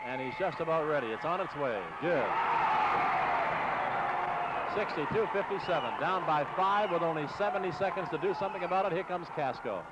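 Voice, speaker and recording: A man's voice: fairly high pitch, quick pace, hardly any pauses, highs cut off, very noisy. An American man calling live basketball play-by-play as a radio announcer in clipped, running phrases on a 1960s AM broadcast. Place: courtside in a large exposition hall.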